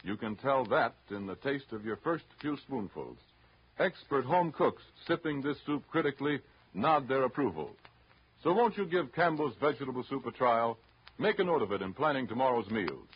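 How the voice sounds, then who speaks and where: A man's voice: medium pitch, animated, normal speed, slightly rough. An American man reading a scripted 1930s radio advertisement in a polished, persuasive manner. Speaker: commercial announcer. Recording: dull, noisy 1930s radio recording.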